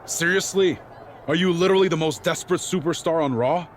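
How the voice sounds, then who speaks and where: Sneering higher-pitched rival wrestler, played by a man. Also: a little fast, slight background noise, close to an everyday voice. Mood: mocking. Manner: sneering, mocking. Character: scornful, sarcastic rival male pro wrestler. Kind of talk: cinematic sports game dialogue.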